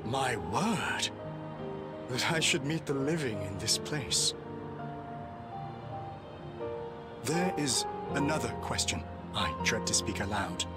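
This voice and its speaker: A man's voice: medium pitch, lightly acted. A man acting an earnest knight, sweeping intonation. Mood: anxious. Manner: formal archaic diction, emotional, halting. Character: noble, grieving old-fashioned knight, earnest and courtly. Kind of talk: theatrical fantasy game voice acting.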